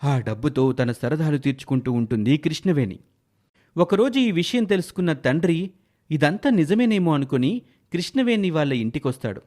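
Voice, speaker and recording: A man's voice: on the high side, expressive, moderate pace, darkish tone. A man narrating a scripted moral story in a dramatic storytelling style.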